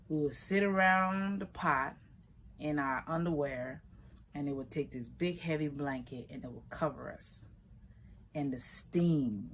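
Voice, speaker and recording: A middle-aged woman from Liberia recounts family memories in an unhurried, conversational way. Her voice sits low, and her pace speeds up and slows down as she talks. A faint ambient hum lies under the recording. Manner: relaxed, conversational, shifting pace, Liberian English phrasing. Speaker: vegan food business owner. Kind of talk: personal storytelling.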